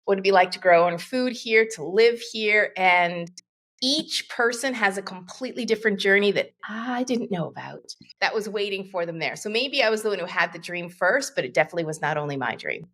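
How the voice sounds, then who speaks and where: A woman's voice: medium pitch, expressive, fairly quick, fairly bright tone. A woman in her fifties from Canada, a guest coach talking in a reflective, rambling way with many asides. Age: 50s. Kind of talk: casual interview conversation.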